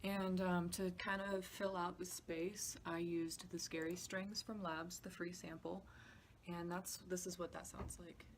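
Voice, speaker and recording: A woman's voice: lowish voice, flat delivery, measured pace, background noise. A woman giving a casual behind-the-scenes walkthrough as a composer, explaining things in an informal, slightly hesitant way. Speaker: podcast composer. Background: music demo clips playing.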